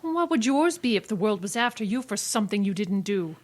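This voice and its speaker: A woman's voice: medium pitch, big pitch swings, brisk. A woman playing a hard, defiant young woman in an old-time radio mystery, speaking curtly and bitterly. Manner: curt, bitter.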